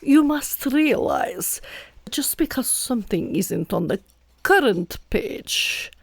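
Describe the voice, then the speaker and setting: A woman playing a self-assured, officious time-paradox specialist in a comic audio drama, deliberate and insistent. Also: a little high, sing-song, vocal fry, no room sound, exaggerated voice.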